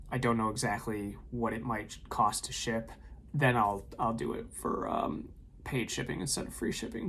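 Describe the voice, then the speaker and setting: A man's voice: on the low side, a bit bright, a little hiss or hum. A man casually running through his weekend eBay sales, talking loosely with frequent ums and uhs from a room at home. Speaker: eBay reseller vlogger. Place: home room with shelves of tapes.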